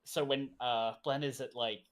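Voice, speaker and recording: A man's voice: medium pitch, steady tone, a little slow, fairly bright tone. A man co-hosting a game stream, chatting casually, rambling and laughing often. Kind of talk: casual chat while gaming.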